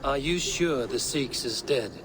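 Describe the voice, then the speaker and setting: A grim Viking raider voiced by a man talks in terse, formal game dialogue. The voice sits at a middle pitch, with clear ambient noise around it. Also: measured pace, natural voice. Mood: skeptical. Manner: terse, stern, formal phrasing. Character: grim, blunt male Viking raider leader. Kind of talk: dramatic video game cutscene acting.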